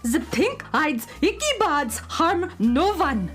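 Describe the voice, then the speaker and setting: A woman's voice: high voice, big pitch swings, slow pace, fairly bright tone, soft background music, exaggerated voice. A woman playing a melodramatic beatnik cat-girl from the Moon in a children's radio play, with grand, world-weary pronouncements. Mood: protesting.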